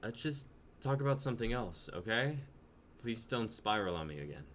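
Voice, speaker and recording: A man's voice: on the low side, sing-song, moderate pace, fairly bright tone. A man playing an insecure, on-edge young boyfriend who pleads and flares up, in a dramatic script reading. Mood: subdued pleading. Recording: noisy amateur livestream audio.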